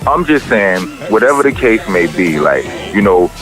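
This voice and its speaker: A middle-aged man with a deep voice, a rapper talking casually in an interview, rambling and profane, with many restarts. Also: very animated, normal speed, over music.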